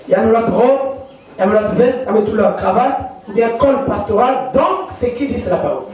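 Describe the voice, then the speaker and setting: A high-pitched man from Congo delivers an emphatic sermon, repeating his points as a congregation answers back. The sound comes from a distance, in a large echoing hall. Voices of the crowd are clearly audible behind him. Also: fairly quick. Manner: emphatic preaching with repetition and call-and-response. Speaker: pastor. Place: church hall. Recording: echoey hall, mic from far off, congregation audible. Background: congregation responding amen.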